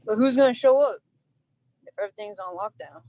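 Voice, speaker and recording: A man's voice: high voice, brisk, a little mellow, no room sound, muffled recording, natural voice. A man reading the part of a questioning young boxer in a film script table read. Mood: doubtful.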